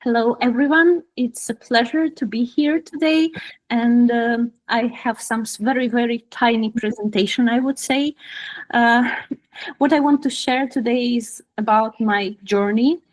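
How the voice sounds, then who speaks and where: Higher-voiced woman with a Ukrainian accent. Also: measured pace, low-quality recording. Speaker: guest speaker, product designer. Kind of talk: personal career talk to an online group. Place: online video call.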